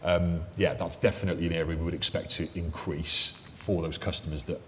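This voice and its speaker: A man with a very low, deep voice speaks on a panel discussion, loose and conversational with plenty of fillers. The sound carries a long hall reverb with a faint hiss beneath it. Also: steady tone, brisk, a bit bright. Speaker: mortgage lender panelist. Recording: echoey hall, faint noise.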